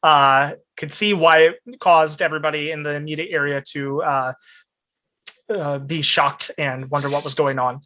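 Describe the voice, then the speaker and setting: A man narrating an improvised tabletop game as game master, in a measured storytelling manner. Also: a little high, fairly even intonation, sharp tone.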